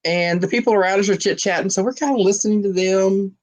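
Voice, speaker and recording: A woman's voice: mid-range voice, fast, a little mellow. A woman from Texas hosting a casual video call chat, telling stories and laughing often. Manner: chatty storyteller, laughs often.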